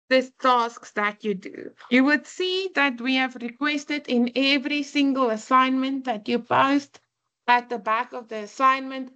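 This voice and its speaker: A woman's voice: on the high side, fairly bright tone, highs cut off. A woman lecturer with an Afrikaans accent, a native Afrikaans speaker, giving an earnest orientation class with frequent "um"s. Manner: earnest, explanatory, frequent fillers. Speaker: university lecturer.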